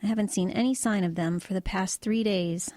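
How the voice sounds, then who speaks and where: A woman acting as a female truck driver in an audio drama, with a soft, mellow voice at a middling pitch. She tells her eerie story matter-of-factly and with understatement, pausing to search for the right words. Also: steady tone, no echo, clean studio sound, close to an everyday voice. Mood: quiet, wistful.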